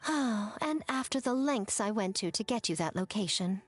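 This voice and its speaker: A woman's voice: medium pitch, lively intonation, moderate pace, soft background music, natural voice. A woman voicing a sly, teasing, elegant shrine lady in a video game, playful and poised in her delivery. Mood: dismayed. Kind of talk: animated video game voice acting.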